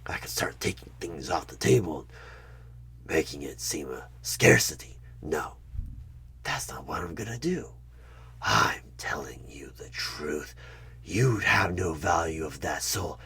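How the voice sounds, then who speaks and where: A man playing a sinister devilish tempter in a horror ASMR roleplay, slow and coaxing with a breathy, husky half-whisper and menace. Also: rumbling low, expressive, exaggerated voice. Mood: insistent, menacing. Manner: slow, menacing, coaxing, with sudden loud bursts.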